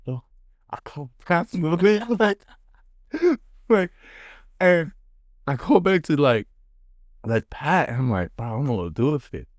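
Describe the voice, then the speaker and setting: A man hosting a casual podcast, telling stories in an animated, slangy way. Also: on the high side, a little slow, pauses often, no echo, studio quality. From Dominican background. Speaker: podcast host. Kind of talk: casual chat, storytelling.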